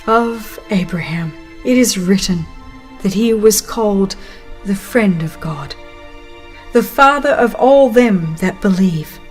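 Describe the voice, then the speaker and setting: A woman reading a religious book aloud as dramatized scripted narration, solemn, earnest and measured. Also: medium pitch, lively intonation, faint music behind. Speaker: audiobook narrator.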